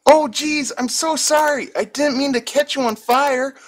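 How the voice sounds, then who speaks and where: A man's voice: very high-pitched, steady tone, unhurried, voice pushed up. A man voicing a giddy, mischievous female umbrella spirit in an exclamatory, mock-sweet way. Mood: apologetic.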